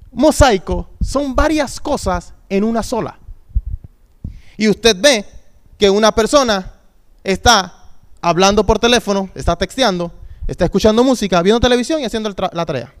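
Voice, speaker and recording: Male youth pastor, thirties, high smooth voice, preaching with repetition and call-and-response. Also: normal speed, pauses often. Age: 30s. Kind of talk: sermon.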